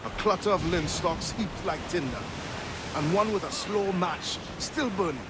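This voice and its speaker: An actor playing a dignified, practical pirate quartermaster in a video game, formal wording and dry wit. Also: male voice, sits high, a little slow, very noisy. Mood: dry, earnest. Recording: loud music and sea sounds behind. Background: music, waves.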